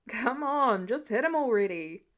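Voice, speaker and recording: A woman's voice: on the high side, animated, a little slow, fairly bright tone. Woman playing a cocky, sarcastic superhero archer guy. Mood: impatient heckling. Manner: sarcastic, goading. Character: cocky, teasing male superhero archer, lounging heckler.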